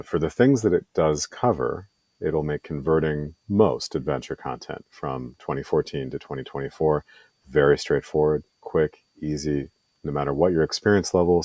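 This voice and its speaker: Man with an extremely low voice, middle-aged, earnest tutorial talk, dead dry room. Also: a little mellow, no room sound. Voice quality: radio voice. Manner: self-deprecating, earnest, frequent ums. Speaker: indie game publisher and video presenter. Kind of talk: tutorial presentation. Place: home laundry room.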